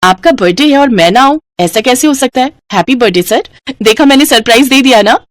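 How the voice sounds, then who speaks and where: A woman's voice: on the high side, lively intonation, a little fast, no room sound, clean studio sound, voice pushed up, lightly acted. A woman voicing an eager, forward, infatuated schoolgirl with a coy, gushing manner in a story.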